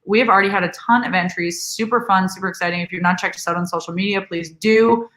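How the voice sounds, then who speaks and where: Bright, chatty young woman in echoey room. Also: medium pitch, fast talker. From Boston. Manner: chatty, laughing, promotional asides. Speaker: co-host. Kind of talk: live hockey watch-along chat. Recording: roomy echo.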